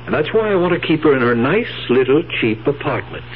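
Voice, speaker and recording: Actor as scheming husband, tinny old radio. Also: male voice, mid-range voice, sing-song, a little fast, natural voice. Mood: calculating, greedy. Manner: insistent, calculating. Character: firm, greedy, scheming husband. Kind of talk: 1970s radio drama acting. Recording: tinny radio broadcast, faint hum.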